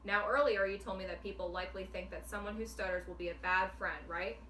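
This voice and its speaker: A calm, patient woman guiding a client through a counseling exercise, explaining each step clearly. Her voice is recorded from a distance in a roomy, echoing space, with a faint background hiss. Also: mid-range voice, fast talker. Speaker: clinician. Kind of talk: demonstration counseling session. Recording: echoey room, mic at a distance, faint noise.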